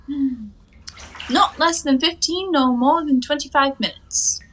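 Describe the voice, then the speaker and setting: Casting: high-pitched young woman, flippant and teasing, lofty airs, twenties stage-comedy delivery. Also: a little slow, fairly bright tone, slight background noise, poor audio. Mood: mock-grand teasing. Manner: airy, teasing, mock-grand phrasing. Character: playful, cheeky, carefree young woman lounging in her bath. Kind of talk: theatrical 1920s stage comedy acting.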